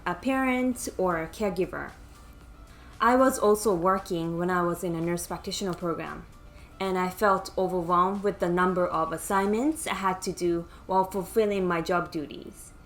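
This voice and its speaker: Japanese-accented woman giving earnest advice. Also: medium pitch. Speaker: nurse practitioner mentor. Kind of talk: scripted educational talk.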